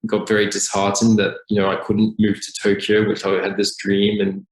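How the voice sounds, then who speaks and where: A man from Australia, a company founder, talking casually as a podcast guest over a remote call, relaxed and full of filler ums. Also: lowish voice, moderate pace. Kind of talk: casual interview talk. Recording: echoey room.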